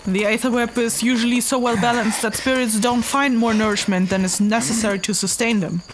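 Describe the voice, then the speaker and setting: A woman's voice: on the high side, fairly even intonation, brisk, noisy background, natural voice. A woman playing a knowledgeable, slightly evasive traveler in a fantasy audio drama, explaining things patiently and a little hesitantly.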